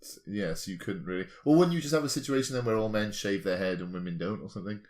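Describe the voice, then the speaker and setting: A man's voice: lowish voice, fast talker, darkish tone. A man co-hosting a podcast, chatting casually with a wry, teasing, comic manner. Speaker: podcast co-host.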